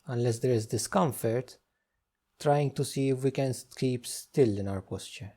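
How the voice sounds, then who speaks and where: A man guides a meditation in a dark, relaxed voice of medium pitch. He gives gentle instructions in short phrases and leaves unhurried pauses between them.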